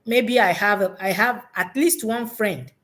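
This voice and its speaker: Woman talking thru her thoughts in an interview, medium pitch, reflective, says key bits again. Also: a little slow, a bit bright. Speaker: education consultant guest. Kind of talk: unscripted conversational interview answers.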